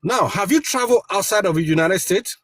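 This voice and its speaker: A man's voice: high voice, animated, fairly quick, fairly bright tone, slight background noise. A man from Africa arguing on a video call from outdoors, lecturing and talking over others. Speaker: debate panelist. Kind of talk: heated online debate.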